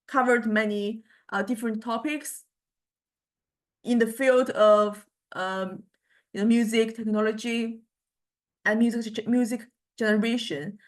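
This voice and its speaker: A woman lecturing to a university class informally, speaking in halting phrases and often repeating words. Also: a little high, fairly even intonation.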